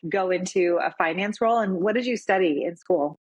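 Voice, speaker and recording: A woman's voice: lowish voice, a little fast, darkish tone. A woman hosting a podcast interview, chatty and encouraging, with many fillers as she asks her questions. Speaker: podcast host.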